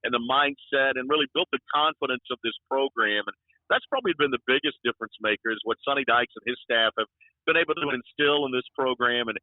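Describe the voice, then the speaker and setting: Over a narrow, dry phone-like connection, an American man speaks at a middle pitch. He is a sports broadcaster answering interview questions in a conversational way. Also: fast talker, no echo. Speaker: radio play-by-play sports announcer. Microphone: phone or remote call. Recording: tinny, dry phone-like line.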